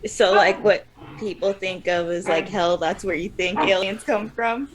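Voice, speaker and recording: A woman's voice: mid-range voice, unhurried, a crowd in the background. Woman, young adult, co-host, teasing, giggly, blunt, casual chat. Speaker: podcast co-host.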